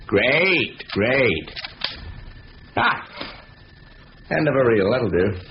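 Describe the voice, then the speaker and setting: An actor playing a brash small-time crook in a radio drama, talking in a blunt, colloquial way. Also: male voice, mid-range voice. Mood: smooth, cajoling. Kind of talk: 1970s radio drama acting. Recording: dull, hissy old radio broadcast.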